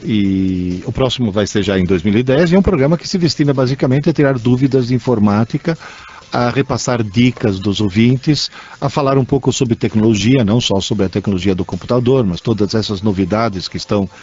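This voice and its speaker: A man's voice: medium pitch, fairly quick, darkish tone, slightly rough. A man with a Brazilian accent co-hosting a radio show, explaining things conversationally in a teacherly way, repeating points for emphasis. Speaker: radio co-host. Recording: dull lo-fi radio recording.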